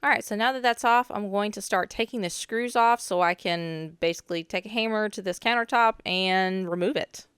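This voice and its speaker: A young woman, a little hoarse from a cold, casually narrating her own renovation footage with chatty asides. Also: medium pitch, moderate pace, hardly any pauses, no room sound, studio quality. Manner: chatty, relaxed, with frequent "um" asides. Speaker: DIY renovation vlogger.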